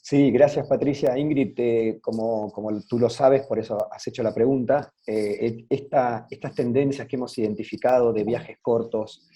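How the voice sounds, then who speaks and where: Dark husky Rioplatense man moderating. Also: mid-range voice, fairly even intonation, normal speed. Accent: Rioplatense Spanish. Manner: friendly, inviting guests to speak. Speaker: webinar moderator. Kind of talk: online business webinar moderating. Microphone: video call.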